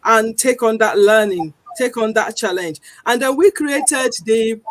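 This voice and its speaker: A woman with a Nigerian accent, speaking earnestly as a guest on a panel discussion, pausing often with ums. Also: fairly high pitch, dry recording. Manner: earnest, deliberate, frequent pauses with um.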